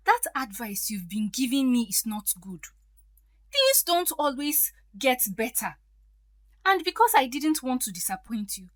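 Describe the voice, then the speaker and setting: A woman's voice: a little high, fairly bright tone, dry recording. An actress playing a hurt, defiant stepdaughter in her thirties in an audio drama, emotional and pleading.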